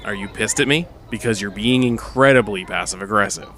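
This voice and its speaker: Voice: low-pitched, fairly quick, a bit bright. An actor playing a frazzled, businesslike stage director in an audio drama, blunt and exasperated in delivery. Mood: pointed. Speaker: director of a musical in a story. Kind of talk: naturalistic audio drama acting.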